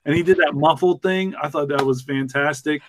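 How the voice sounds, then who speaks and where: A man's voice: fairly high pitch, a little fast, a crowd in the background. A man on a podcast panel chatting casually in a jokey, rambling, emphatic way. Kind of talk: casual group chat.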